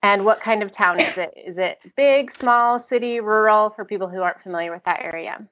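A woman's voice: medium pitch, fairly quick, fairly bright tone, dull audio. A young adult American woman hosting a book podcast, chatty and effusive as she interviews a guest. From United States. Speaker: podcast host. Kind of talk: casual interview chat.

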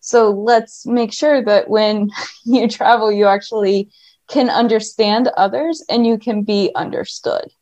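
A woman's voice: medium pitch, fairly even intonation, unhurried, a little mellow, no room sound. A woman being interviewed about her work. She explains in articulate, flowing lists. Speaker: guest, language business owner. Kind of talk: conversational interview.

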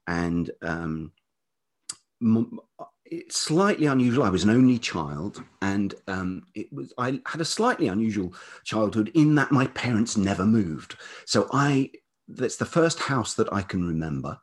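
A middle-aged man from Kent with a southern English accent and a velvety voice, telling personal stories thoughtfully as an interview guest, often pausing mid-sentence. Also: fairly low pitch, very animated. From Kent, England. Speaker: podcast guest, writer and musician. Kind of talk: reflective personal storytelling in conversation.

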